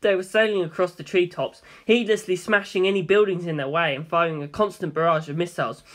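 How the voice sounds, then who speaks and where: A man narrates scripted fiction in the first person. His voice is rather high for a man. It has a bright, forceful tone suited to an audiobook. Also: steady tone, a little fast.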